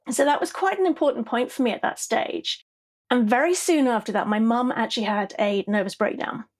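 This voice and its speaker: A middle-aged English woman with a fairly high voice tells her story as a podcast guest. She talks in an animated way, often tagging her sentences with a questioning "yeah?". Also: fairly quick. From southeast England. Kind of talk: conversational storytelling interview.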